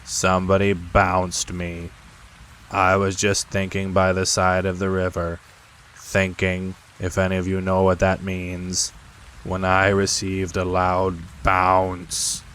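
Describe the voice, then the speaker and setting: A man voicing a gloomy, sarcastic old male donkey who talks slowly and flatly. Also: low voice, a little hiss or hum. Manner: slow, flat and glum.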